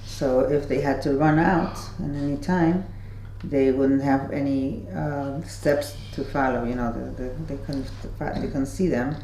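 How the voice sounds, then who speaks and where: A woman's voice: a bass voice, steady tone, a little slow, hardly any pauses, warm tone. A middle-aged woman prompting and explaining in conversation, sometimes searching for English words. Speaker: survivor's relative helping with the testimony. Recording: echoey room with clear background noise.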